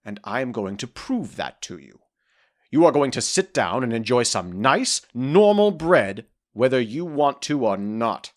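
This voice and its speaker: Huffy jealous fairy king, audio comedy, exasperated, pitch jumping all over. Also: very animated, measured pace. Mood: stubborn, exasperated. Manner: exasperated, stammering when flustered. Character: petulant, jealous male fairy king, indignant and defensive. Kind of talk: modern comedic audio drama acting.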